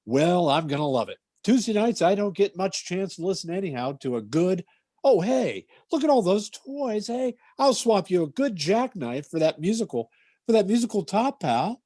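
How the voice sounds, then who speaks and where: A man's voice: high-pitched, very animated, moderate pace, mellow, no room sound, studio quality. A performer playing a cheerful, glib salesman, a male family friend, breezy and chummy in old-time radio comedy style.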